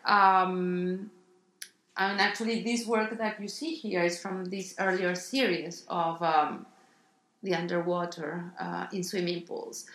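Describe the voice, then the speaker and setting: Woman, Argentine accent, middle-aged, bright tone, hesitant, roomy echo. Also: medium pitch. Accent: Argentine Spanish. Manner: thoughtful, hesitant, many ums and restarts. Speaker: artist guest. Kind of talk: radio interview.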